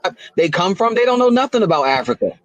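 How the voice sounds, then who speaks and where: A man arguing excitedly in a group debate, often cutting in with wait and hold on. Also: sits high, quick pace, a little hiss or hum. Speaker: live-stream participant.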